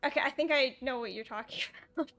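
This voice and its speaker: A woman's voice: sits high, quick pace, a bit bright, faint music behind. A young adult woman chatting casually as she plays a co-op puzzle game. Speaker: gaming video host and player. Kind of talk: casual chat during co-op puzzle gameplay. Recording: noticeable background noise and game ambience.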